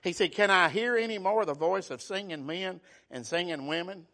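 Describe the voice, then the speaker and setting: A man's voice: high voice, moderate pace, sharp tone. A man preaching a sermon, retelling a scripture story in everyday words and calling out for amen. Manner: calls for amen, dialect grammar, voices the scripture figures.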